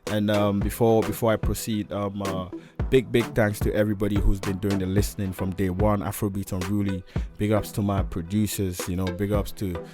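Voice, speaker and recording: A man hosting a radio show in a studio. He speaks in an upbeat, repetitive way, full of shout-outs. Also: on the low side, level tone, brisk, music in the background. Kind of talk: casual radio interview.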